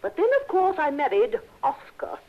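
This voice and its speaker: An actress playing a genteel, trusting and easily flattered older wealthy widow in a 1960s radio drama, speaking in a fluttery, hesitant way. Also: female voice, sits high, moderate pace. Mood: flattered, coy. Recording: dull, lo-fi old radio recording.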